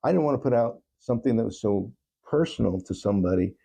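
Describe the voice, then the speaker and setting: A man speaking as an interview guest, giving earnest, thoughtful answers in a halting, reflective way. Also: on the low side, expressive, vocal fry, warm tone, breathy edge. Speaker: talk show guest.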